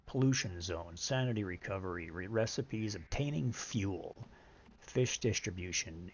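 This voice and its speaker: Deep-voiced middle-aged man, dull lo-fi mic. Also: a little hiss or hum. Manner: rambling, explains patiently, reads menu items aloud. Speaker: live streamer. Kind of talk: casual gaming stream chat.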